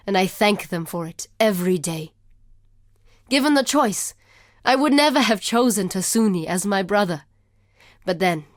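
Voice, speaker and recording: A woman playing a proud, wary desert tribeswoman warrior in a video game, speaking in a solemn, measured and formal way. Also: medium pitch, dry recording, studio quality, natural voice. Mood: proud, resentful. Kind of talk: video game character dialogue.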